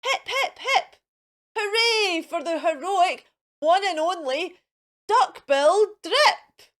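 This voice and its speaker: A woman's voice: extremely high pitch, animated, slow pace, fairly bright tone, voice pushed up, heavily acted. A woman voicing cheerful, friendly duck-billed dinosaurs in a storybook, with jolly, hooting exclamations. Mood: celebrating.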